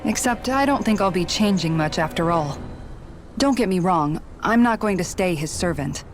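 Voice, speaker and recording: A woman's voice: fairly low pitch, lively intonation, moderate pace, lightly acted. A woman playing a dutiful, composed young former palace guard in a video game, speaking earnestly and considerately. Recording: music underneath. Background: background music.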